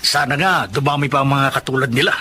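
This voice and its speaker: A man's voice: mid-range voice, fast talker, pushed and bright, slight background noise, lightly acted. A Filipino man playing a kindly, encouraging ex-convict friend in a radio drama. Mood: warm, sincere.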